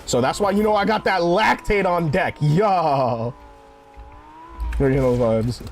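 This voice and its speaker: A man's voice: fairly high pitch, unhurried, faint music behind. A man of twenty-eight, chatting casually and a bit tipsy on a gaming live stream, rambling in slang and swearing often. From New York. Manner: rambling, slangy, profane, says "bro" constantly.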